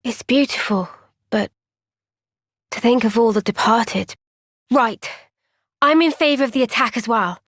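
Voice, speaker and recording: An earnest young female soldier from a fantasy video game. Her voice sits on the high side and she takes her time, gentle and reflective with thoughtful pauses. The sound is clean and very dry, with no room around it. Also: dry recording. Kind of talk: video game cutscene voice acting.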